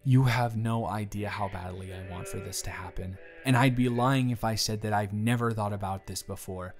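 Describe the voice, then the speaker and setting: A man's voice: lowish voice, steady tone, moderate pace. A man acting in an intimate audio roleplay as an earnest, conflicted boss, talking in a confiding, hesitant, conversational way. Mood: reluctant confession. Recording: music behind the voice.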